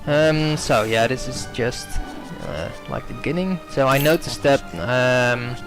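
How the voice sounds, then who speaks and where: A man's voice: medium pitch, measured pace, over music, rough recording. A young Dutch-native man giving hesitant gameplay commentary, full of ums and self-corrections.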